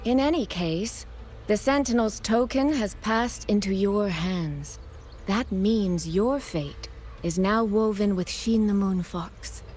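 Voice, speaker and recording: A woman playing a composed, secretive young elder sister in a video game, speaking in a measured, playfully teasing way. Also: medium pitch, darkish tone, close to an everyday voice. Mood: serious, measured. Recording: music behind the voice.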